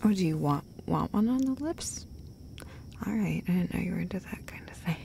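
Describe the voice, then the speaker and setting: Female performer as affectionate girlfriend for intimate audio roleplay: breathy, gravelly edges, tender casual delivery, some background noise. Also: fairly low pitch, expressive, brisk, dark tone. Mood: playful, soft. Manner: tender, casual, rambling, swears playfully. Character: affectionate, playful, chatty girlfriend comforting her partner.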